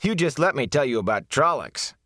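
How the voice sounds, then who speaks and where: Man voicing a teasing young male villager, cocky and deliberate. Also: mid-range voice, very animated, sharp tone, no room sound, clean studio sound, voice pushed up. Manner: cocky, overly distinct.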